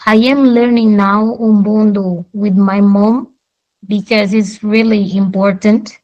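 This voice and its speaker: A woman's voice: medium pitch, level tone, slow pace, a little mellow, dull audio. A young woman from Angola with an Angolan accent, Portuguese her first language, giving an earnest debate speech and reading out statistics point by point. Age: young adult.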